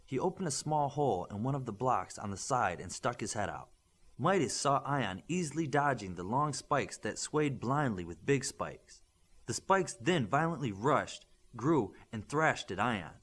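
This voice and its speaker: A man's voice: medium pitch, lively intonation, moderate pace, a bit bright, breathy edge. A man reading a scripted adventure story aloud as an audiobook narrator.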